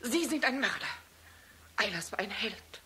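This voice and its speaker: Stern, accusing widow, high-voiced. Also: female voice, expressive, a little slow, pushed and bright, a little hiss or hum. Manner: solemn, declamatory, accusing. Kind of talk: dramatic radio play acting.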